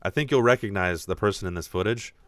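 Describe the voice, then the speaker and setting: A man hosting a video podcast, talking casually and excitedly with frequent restarts in a deep voice. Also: quick pace, no room sound, studio quality. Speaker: podcast host.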